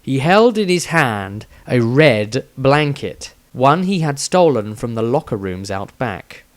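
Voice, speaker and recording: A man's voice: medium pitch, big pitch swings, slow. A man reading his own fantasy story aloud as a scripted, dramatic narration with a theatrical delivery.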